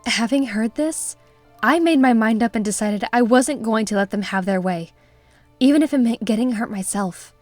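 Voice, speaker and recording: A woman's voice: a little high, normal speed, a little mellow, no echo, natural voice. A woman voicing an earnest, troubled young female witness who narrates her memories in a visual novel, in a confessional manner. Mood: regretful. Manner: confessional, addressing one listener. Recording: music behind the voice.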